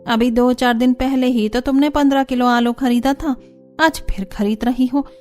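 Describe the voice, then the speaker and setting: A woman's voice: sits high, fairly even intonation, normal speed, a little mellow, faint music behind, lightly acted. Nosy, taunting neighbour woman played by an actress. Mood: nosy teasing. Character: nosy, snide middle-aged neighbour woman.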